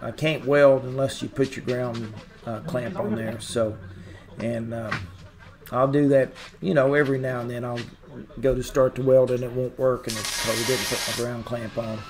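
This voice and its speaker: A man's voice: mid-range voice, fairly even intonation, normal speed, crowd noise. A middle-aged man casually narrating his welding work, rambling with lots of uhs and ums. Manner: rambling, many uhs and ums, plain-spoken. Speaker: hobby welder and channel host. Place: large open garage workshop. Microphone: camera mic at a distance, close selfie at start. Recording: workshop noise behind, distant mic.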